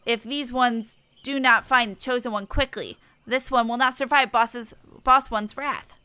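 A woman's voice: on the high side, steady tone, a little slow, bright, forceful tone, clear, resonant tone, no room sound, pitched above the performer's own voice. A woman voicing a small, earnest, fretful forest sylph from a fantasy game, speaking oddly in the third person. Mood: panicked. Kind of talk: reading game dialogue in character.

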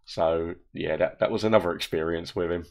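A hoarse man tells rambling stories as a show's host, recorded on a webcam in a room. His voice sits very low and sounds croaky. He speaks casually, like chatting with friends. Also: fairly even intonation, a little fast, darkish tone. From England. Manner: rambling, chatty storytelling with laughs. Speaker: animation creator and host. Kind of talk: casual commentary. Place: indoor room. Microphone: wearing headphones, webcam.

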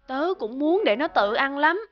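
High-voiced, fretful cartoon mother. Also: lively intonation, a little slow, highs cut off, a little hiss or hum. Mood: fussing. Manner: fretful, exasperated. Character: harried, indulgent mother of a spoiled son. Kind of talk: animated cartoon dub. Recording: background music under the dialogue.

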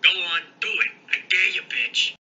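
A man as a cocky, sharp-voiced teen boy. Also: high voice, normal speed, lo-fi sound. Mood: taunting. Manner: brash, taunting. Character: cocky, foul-mouthed, defiant teenage prankster boy. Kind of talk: fan-made cartoon parody voice acting.

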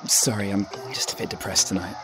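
An actor with an English accent playing a shy, earnest young exchange student, hesitant and apologetic, in a radio drama. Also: male voice, lowish voice, little pitch movement, a little fast, background noise, natural voice. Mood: downcast, hesitant.

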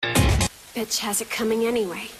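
A lovesick, murderous young anime woman, acted by a woman, speaks with possessive menace. Her voice is high and sits over loud music. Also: little pitch movement, unhurried, natural voice. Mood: menacing. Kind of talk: anime dub acting.

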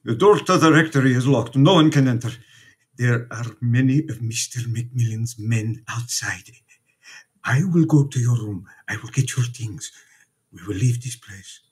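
An actor playing a protective, devoted priest in a radio drama, husky, speaking in short, insistent sentences. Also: male voice, mid-range voice, normal speed, lightly acted. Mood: urgent, hushed. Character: urgent, protective immigrant priest, resolute and devoted.

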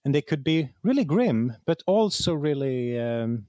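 A man's voice: mid-range voice, very animated, a little slow, dark tone, dry recording. Hesitant Swedish man in an interview. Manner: hesitant, repeats words as he searches for phrasing. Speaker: interview guest, game writer. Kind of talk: conversational interview, explaining and storytelling.

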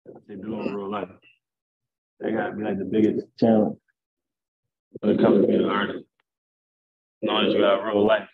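A young man, a rapper, talking casually and boastfully in an interview over a video call, with a deep raspy voice. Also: completely flat delivery, moderate pace, long pauses. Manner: laid-back, boastful, rambling. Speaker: rapper guest. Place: indoors at home. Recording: tinny video call audio.

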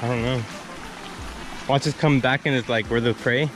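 A man's voice: mid-range voice, moderate pace, music in the background, low-quality recording. A young man vlogging on a hike along a wooded creek, talking casually with lots of cursing and laughter, recorded on a handheld camera while walking. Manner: profane, laughing, excitable. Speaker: vlogger hiking with a friend. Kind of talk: casual chat. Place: outdoors on a wooded creek trail.

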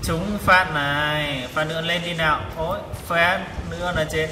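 A man's voice: on the high side, steady tone, moderate pace. A man giving live gameplay commentary, exclaiming and repeating short phrases as he plays. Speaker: gaming vlogger playing a tank game. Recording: distant mic, echoey reverberant room. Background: loud game music.

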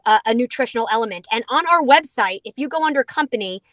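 A woman's voice: on the high side, lively intonation, quick pace, fairly bright tone, no room sound. A middle-aged woman in a vehicle on a video call, enthusiastically explaining a dog food product with playful asides. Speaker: dog food company representative. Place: inside a vehicle.

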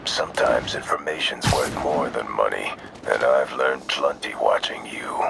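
Whispering gravelly mercenary. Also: male voice, measured pace, noisy background, heavily acted. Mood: menacing calm. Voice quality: low raspy whisper. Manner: calm, menacing, condescending. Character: cold professional male mercenary taunting a hero. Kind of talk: video game cutscene voice acting.

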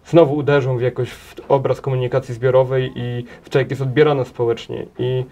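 A man's voice: mid-range voice, little pitch movement, fairly quick, warm tone. A man in his thirties, a guest in a small TV studio, answering questions at length. He stumbles often, repeating words and restarting his sentences as he thinks aloud. His voice is recorded on a table mic. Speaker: interview guest, head of a transport association.

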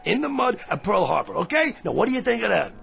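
An actor playing a brash, fast-talking man who claims to be going back in time, with a pushy, street-smart manner in a radio drama. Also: high voice, sing-song, creaky, fairly bright tone, exaggerated voice. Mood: cocky. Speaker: lead character. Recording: radio play with music.

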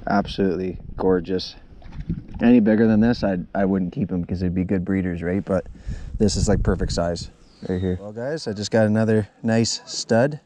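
A man's voice: sits low, steady tone, normal speed, mellow. A young Canadian man chatting casually as the host of a fishing vlog. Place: outdoors in a canoe on a lake. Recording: noisy outdoor action camera recording, clicks.

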